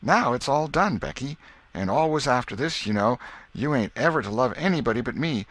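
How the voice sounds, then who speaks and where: A man's voice: mid-range voice, expressive, a little fast, fairly bright tone, no echo, voice pushed up. A man voicing an eager, lovestruck American schoolboy who speaks in earnest, rustic, boyish phrases in an audiobook. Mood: eager, insistent. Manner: earnest, rustic nineteenth-century boyish speech. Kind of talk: audiobook character reading.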